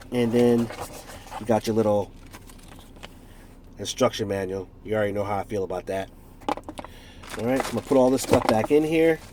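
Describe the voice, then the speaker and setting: A man's voice: fairly low pitch, background noise. A man in his forties from the United States with a stuffed-up nose from a cold, casually reviewing a product in a rambling, self-correcting way with jokey asides. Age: 40s.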